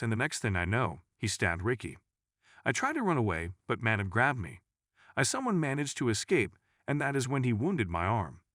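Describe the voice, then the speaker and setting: A man's voice: lowish voice, fairly bright tone, no echo, studio quality. A man narrating a scripted first-person crime story in a dramatic storytelling manner. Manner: dramatic storytelling, acts out dialogue.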